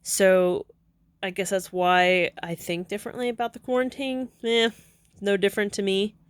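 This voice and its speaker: A woman's voice: mid-range voice, fairly even intonation, moderate pace, clear, resonant tone, no room sound. A woman in her thirties talking casually at home in a rambling vlog, chatty and digressive, often correcting herself and laughing. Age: 30s. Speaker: vlogger.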